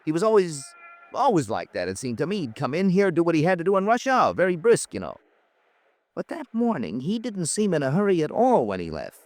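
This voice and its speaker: An actor playing a polite, mild bank teller in an old-time radio drama, halting, apologetic and unsure. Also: male voice, fairly high pitch, wildly swooping pitch, a little mellow, no echo. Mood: musing.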